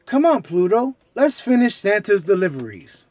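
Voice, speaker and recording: A man's voice: high-pitched, very animated, normal speed, darkish tone, dry recording. A man voicing an eager, innocent cartoon mouse in a children's storybook, wide-eyed and polite.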